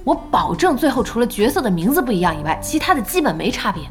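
An actress playing a brisk, spirited internet cafe owner in an audio drama. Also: female voice, a little high, very animated, soft background music. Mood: persuasive. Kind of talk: Chinese audio drama acting.

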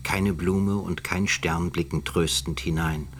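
A man's voice: low voice, fairly even intonation, slow pace, a bit bright, full character voice. An actor playing a grave middle-aged philosopher, reading his book aloud in a solemn, lecturing, literary manner for a radio drama. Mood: solemn, bleak.

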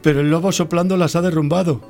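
Guy doing a fretful little piggy for a kids radio story, highish voice, lazy type, faint music. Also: steady tone, fast, vocal fry, darkish tone. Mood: nervous. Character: lazy, fearful young male pig, carefree cartoonish brother. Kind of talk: children's radio play.

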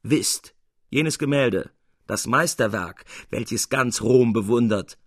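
A commanding master painter in a storybook, voiced by a male narrator. He speaks emphatically and with sardonic bite. The voice has a husky edge and a dry, close sound. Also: medium pitch, takes it slowly, no room sound. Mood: proud, challenging. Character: bold, mocking master painter, loud and commanding.

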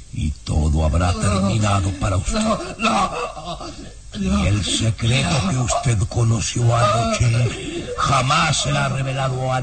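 An actor playing a sinister old baron in a radio serial, speaking with deliberate, mocking menace. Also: male voice, mid-range voice, recorded from a distance. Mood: gloating threat. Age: older. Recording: radio drama with loud music behind. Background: loud dramatic music.

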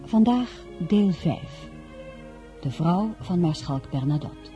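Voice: mid-range voice, expressive, slow. An announcer formally reading the title and credits of a radio drama. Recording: dull old radio sound, faint music.

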